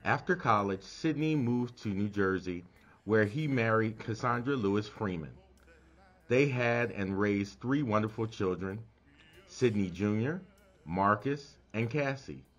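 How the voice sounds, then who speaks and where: Low-voiced man reading a memorial biography. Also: expressive, a little slow, fairly bright tone, dull audio.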